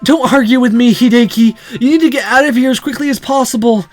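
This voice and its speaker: A man's voice: very high voice, quick pace, faint music behind, pitched above the performer's own voice. A man voicing a desperate, protective young woman held captive in a visual novel, pleading urgently. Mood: urgent warning.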